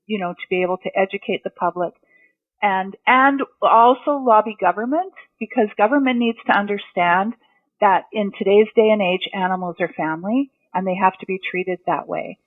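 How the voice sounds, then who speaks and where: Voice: medium pitch, moderate pace, dry recording. Interview guest, matter-of-fact, tinny phone-like line, dry sound. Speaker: animal emergency response guest. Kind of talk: interview answers.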